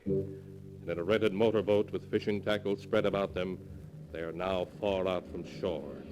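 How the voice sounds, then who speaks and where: An actor playing an ominous storyteller, narrating a 1940s radio tale in a solemn, dramatic, measured way. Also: male voice, low-pitched. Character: ominous storyteller reading from a sealed book. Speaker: announcer and narrator. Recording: noisy old 1940s radio recording.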